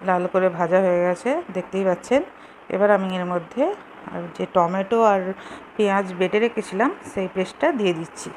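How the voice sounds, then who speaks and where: A woman's voice: medium pitch, fairly even intonation, brisk, a little mellow. A woman giving casual, step-by-step cooking instructions in simple words, as host of a home recipe video. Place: home kitchen. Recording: clearly noisy home kitchen recording.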